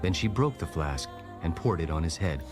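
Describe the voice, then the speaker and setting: A man narrating a dramatized audio Bible as a solemn storyteller, formal and measured. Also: low-pitched, expressive. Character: solemn male scripture narrator. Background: clear background music.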